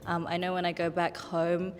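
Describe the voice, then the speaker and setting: A woman's voice: lowish voice, completely flat delivery, normal speed. A woman, a panel guest, speaking earnestly in a conversational discussion and starting her thoughts with "um". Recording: long reverb, faint background music.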